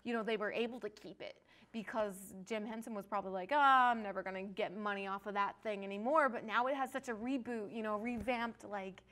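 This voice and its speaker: A woman's voice: medium pitch, fairly quick, a bit bright. A woman chatting informally and enthusiastically, like a fan, as a guest co-host. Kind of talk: casual chat.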